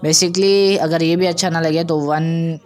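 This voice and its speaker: A man's voice: on the high side, steady tone, unhurried, a little mellow, soft background music. A man presenting an audio editing tutorial in a casual, conversational way, often checking that listeners follow. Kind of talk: informal software tutorial.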